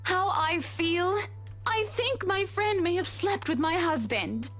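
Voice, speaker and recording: Figure: a refined young wife, fed up. Pitch: high. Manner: proper and measured. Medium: visual novel game. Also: female voice, expressive, a bit bright, exaggerated voice. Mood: hesitant. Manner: measured, refined speech breaking into swearing. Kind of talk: game voice acting.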